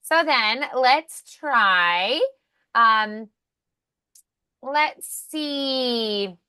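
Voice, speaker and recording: A woman's voice: fairly high pitch, animated, very slow, sharp tone, smooth, dry recording. A woman speaking as an encouraging voice acting coach in a one-on-one lesson.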